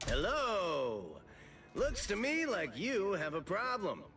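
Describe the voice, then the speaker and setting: Sly, creaky-voiced shopkeeper. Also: male voice, high voice, wildly swooping pitch, takes it slowly, a bit bright, recorded from a distance, soft background music, heavily acted. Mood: sly, helpful. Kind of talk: English-dubbed anime game voice acting.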